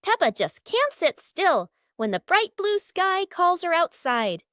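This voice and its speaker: A woman reading a scripted children's story as narrator, with expressive storybook delivery. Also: extremely high pitch, unhurried, no echo, clean studio sound.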